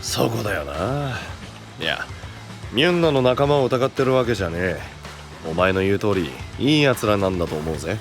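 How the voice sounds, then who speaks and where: Lively, low-voiced rough knight leader. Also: male voice, normal speed, faint music behind. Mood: frank, confident. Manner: casual, manly, blunt. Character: rough-talking, straightforward, warm-hearted male knight leader. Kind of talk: anime-style voice drama acting.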